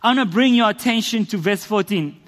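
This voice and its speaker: High-voiced South African man preaching. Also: a little fast. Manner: earnest, rhetorical, builds emphasis through repetition. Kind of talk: sermon with personal testimony. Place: church hall. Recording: long reverb of a church hall.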